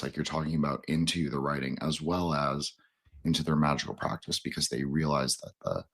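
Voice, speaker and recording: Male podcast host, low and husky; loose, halting, digressive conversational delivery. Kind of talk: casual, rambling discussion.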